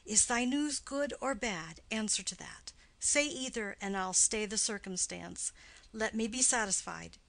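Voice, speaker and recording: A woman's voice: mid-range voice, measured pace, creaky, a bit bright, no echo. A woman voicing an impatient, lovesick, pleading young girl in a classical stage play reading, earnest and declamatory. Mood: exasperated.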